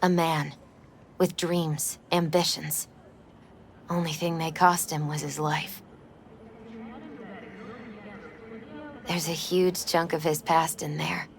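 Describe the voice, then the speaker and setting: A woman playing a melancholy girlfriend in her twenties, grieving in a video game scene with quiet, reflective, halting phrases. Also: low-pitched, little pitch movement, creaky. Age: 20s. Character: wistful twenty-six-year-old grieving girlfriend, soft-hearted, melancholy. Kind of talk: cinematic video game acting.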